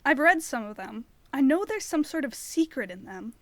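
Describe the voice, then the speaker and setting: An actress plays an earnest young woman in an audio drama. Her voice is high, and she tells her story in a chatty, expressive way. She sounds curious and determined. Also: normal speed, full character voice. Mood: earnest, questioning. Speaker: narrator and lead actor of an audio drama. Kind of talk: audio drama narration and dialogue.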